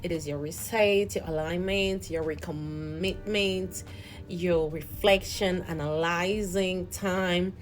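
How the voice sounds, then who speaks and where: Female host, lower register, earnest reflective motivational talk over soft background music. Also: fairly even intonation, slow pace. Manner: earnest, reflective, repeats and restarts words.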